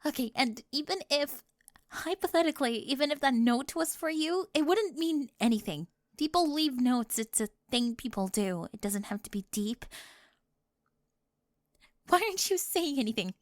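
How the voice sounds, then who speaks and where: Woman playing teenage cheerleader bully, higher-pitched, flustered, defensive, secretly smitten, nervous halting delivery, ASMR roleplay, very dry sound. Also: lively intonation, no room sound. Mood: flustered, indignant. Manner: halting, self-interrupting, nervous laughs, drops into whispers.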